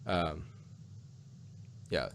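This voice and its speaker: A man's voice: very slow, fairly bright tone. A man chatting casually as a podcast host, hesitant and often repeating and rephrasing himself as he goes. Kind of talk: casual chat.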